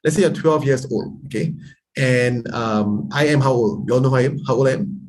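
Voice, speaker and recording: A man, teaching a lively online math class and quizzing his students as he goes. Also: medium pitch, fairly quick. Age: 30s. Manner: lively, chatty teaching with quiz questions to students. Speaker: math tutor. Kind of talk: online lesson.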